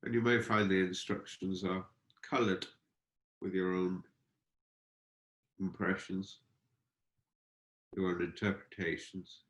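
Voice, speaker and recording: Low-voiced man guiding meditation, roomy sound. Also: little pitch movement. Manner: unhurried, gentle, exploratory phrasing with pauses. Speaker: meditation teacher. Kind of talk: guided meditation instruction. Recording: roomy, echoing space.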